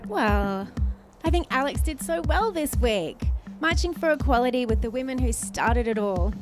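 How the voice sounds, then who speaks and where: Actress as upbeat female commentator, enthusiastic and explanatory, higher voice, scripted radio play with prominent music. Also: lively intonation, normal speed, close to an everyday voice. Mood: proud, upbeat. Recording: loud music behind the voice.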